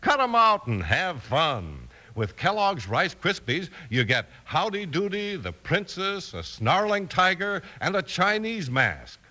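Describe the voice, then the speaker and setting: A man's voice: high-pitched, wildly swooping pitch, a little slow, few pauses, pushed and bright. An American man announcing in an emphatic, theatrical, scripted 1950s radio style. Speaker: announcer and narrator.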